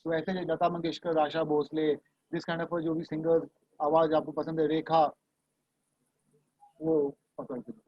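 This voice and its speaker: High-voiced man coaching over a video call. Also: a little slow, gravelly, pauses often, dry recording. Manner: casual, mixes Hindi and English, coaxing and reassuring. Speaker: voice and dubbing trainer. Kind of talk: online class coaching. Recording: dull, lo-fi video call audio.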